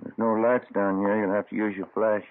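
An actor playing a calm American police officer who speaks briefly and politely in an old-time radio drama. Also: male voice, fairly low pitch, fairly quick, lightly acted. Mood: intent. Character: calm, laconic male police officer, the sergeant's partner. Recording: muffled tinny vintage radio.

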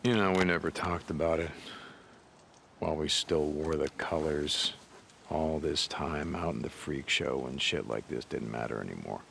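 A man's voice: extremely low pitch, moderate pace, a bit bright, slight background noise, full character voice. An actor playing a guilt-ridden American biker drifter in his thirties, in a naturalistic video game performance. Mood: subdued, reflective. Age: 30s. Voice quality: gravelly. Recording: background music.

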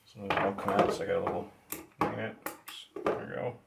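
A man with a low, gravelly voice talks slowly through a hands-on repair at a workbench, pausing and muttering to himself as he works. The camera mic picks him up in a noisy home workshop. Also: fairly even intonation. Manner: slow, halting, talks to himself while working. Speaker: hobbyist showing a radio modification. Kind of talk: casual hands-on demonstration. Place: indoors at a wooden workbench.

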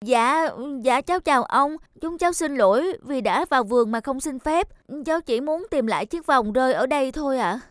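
A woman's voice: sits high, animated, moderate pace, hardly any pauses, dry recording, clean studio sound. An actress voicing an earnest, anxious young woman in a Vietnamese cartoon, with a polite manner. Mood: apologetic. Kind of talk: cartoon voice acting. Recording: music under the voice.

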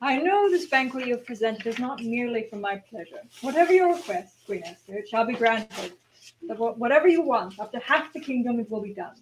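A woman playing an imperious king, generous but quick to anger, in an amateur radio play. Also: a little high, animated, close to an everyday voice, low-quality recording. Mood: gracious. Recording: faint background noise.

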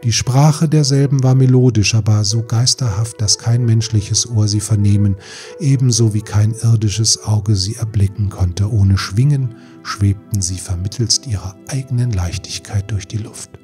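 A man narrating a fairy tale audiobook in a measured, literary storytelling manner. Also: on the low side. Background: soft music.

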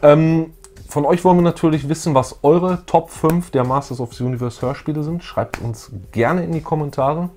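A man's voice: fairly high pitch, normal speed, darkish tone, a little hiss or hum. Middle-aged man chatting as co-host. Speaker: YouTube co-host. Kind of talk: casual fan chat. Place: small room full of collectibles, indoors.

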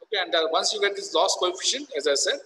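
Bright-voiced man lecturing, echoey hall. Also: high voice, expressive, quick pace, a little hiss or hum. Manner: explanatory, repeats key terms, frequent "okay" and "uh". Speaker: engineering professor. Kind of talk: recorded lecture over slides.

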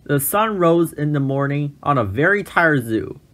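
A man narrating a children's storybook in an expressive, measured read-aloud style. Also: a little high.

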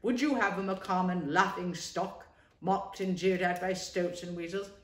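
A woman voicing a gruff, gloomy old male badger with a plain way of speaking. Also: lowish voice, fairly even intonation, moderate pace, roomy sound, close to an everyday voice.

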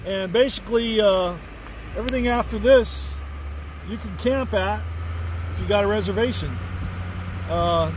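A man in his forties narrating a casual travel vlog from inside a pickup truck, relaxed and rambling with lots of filler words. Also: high-pitched, background noise. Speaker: RV travel vlogger. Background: background music.

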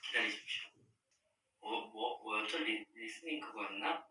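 A young man in his twenties chatting casually to fans on a livestream, soft-spoken and drifting into murmurs and whispers. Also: lowish voice, moderate pace, recorded from a distance, slight background noise. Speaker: livestream host. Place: room with dark wall at a long table, indoors. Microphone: device camera across the table. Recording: roomy, from slightly off-mic.

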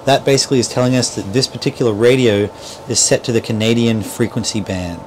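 A man's voice: medium pitch, fairly even intonation, fast talker, a little mellow, slight background noise. A middle-aged man giving an informal, step-by-step how-to demonstration as an instructor, often checking in with the listener. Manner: explanatory, step-by-step, checks in with "right?". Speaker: boat dealer instructor. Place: small boat cabin at a marina. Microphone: clip-on lavalier mic.

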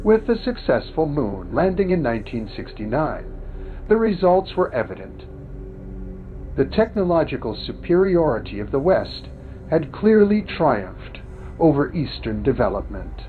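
A man narrates a documentary in formal, scripted phrases, his fairly high voice rising and falling a lot. The sound is narrow and tinny like a phone line, with music underneath. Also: sing-song, measured pace. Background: background music.

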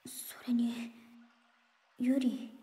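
High-pitched, wistful teenage schoolgirl. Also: level tone, drawn-out words, mellow, breathy edge, full character voice. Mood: pensive. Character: earnest, wistful teenage schoolgirl, former dancer. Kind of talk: Japanese video game voice acting.

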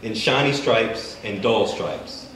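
A man reading his own fiction aloud at an open mic in a bookstore, measured and deliberate. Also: lowish voice, fairly bright tone. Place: bookstore event space, indoors. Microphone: stand mic, recorded by a far camera. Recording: echoey room from far off, faint noise.

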